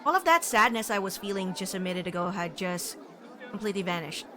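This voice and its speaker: A woman's voice: mid-range voice, fast talker, some chatter behind. A woman live streaming a visual novel, reading text aloud and chatting expressively. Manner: expressive, reacts with frequent laughter and exclamations. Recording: noisy stream with game sound behind. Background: game audio and ambience.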